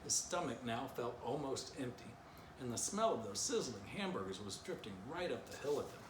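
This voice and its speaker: A man's voice: mid-range voice, animated, moderate pace. A middle-aged man, a children's author, reading a story and giving an informal drawing lesson. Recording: roomy echo, clear background noise.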